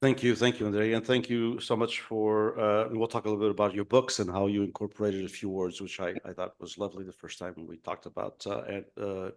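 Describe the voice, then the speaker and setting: A man moderating an author panel, courteous and halting, with many "uh" fillers. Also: on the low side, little pitch movement.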